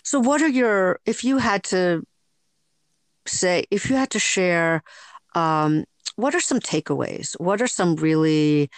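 A woman hosting a podcast, asking long, measured questions that search for the right words. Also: lowish voice, creaky, no echo. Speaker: podcast host. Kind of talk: interview questions.

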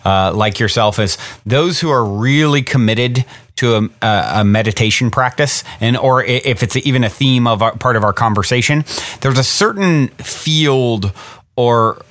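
A man's voice: mid-range voice, normal speed, a bit bright, no room sound. A male podcast host with a rambling, heartfelt manner, full of "you know" and "uh" fillers. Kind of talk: reflective interview conversation. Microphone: remote interview over the internet.

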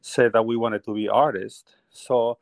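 A man's voice: medium pitch, fairly even intonation, measured pace, creaky, no room sound. A middle-aged man from Peru, a painter telling his life story as a guest in a conversational interview, often laughing as he talks.

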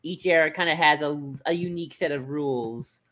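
A man's voice: on the high side, fairly quick, fairly bright tone. A man co-hosting a podcast in casual discussion, rambling and hesitant, often circling back over his phrases. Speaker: podcast co-host.

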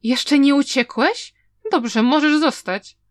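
A woman's voice: high-pitched, takes it slowly, dry recording, studio quality. Woman voicing a cold, arrogant young noble. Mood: haughty. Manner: cold, haughty.

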